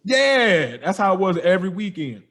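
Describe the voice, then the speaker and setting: A young man, a podcast host telling a story with lively energy, slangy and acting out the dialogue. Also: high voice, moderate pace. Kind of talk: animated storytelling.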